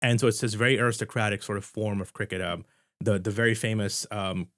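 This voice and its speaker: A man's voice: on the low side, steady tone, fast, a bit bright, no echo, clean studio sound. A man from India with an American accent, giving casual, rambling commentary full of fillers and restarts. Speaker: video essayist.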